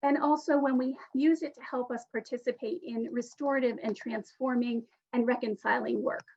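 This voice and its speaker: A woman's voice: on the high side, fairly even intonation, normal speed, darkish tone, dull audio. A woman speaking as an academic panelist, giving a prepared talk in an articulate, earnest way.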